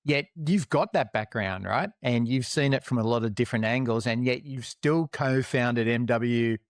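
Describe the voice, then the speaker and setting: A man's voice: mid-range voice, moderate pace, dry recording, studio quality. Upbeat Australian podcast host, man. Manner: upbeat and enthusiastic. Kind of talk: podcast intro and interviewing.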